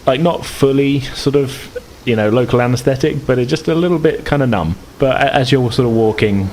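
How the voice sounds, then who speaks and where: A man's voice: medium pitch, fairly quick, a little mellow, slight background noise, low-quality recording. A man improvising casual narration as game master, explaining things in a rambling, hedging way.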